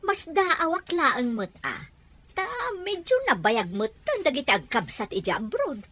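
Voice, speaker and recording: High, animated Ilocano village gossip played by a woman. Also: unhurried, heavily acted. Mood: gossipy, insistent.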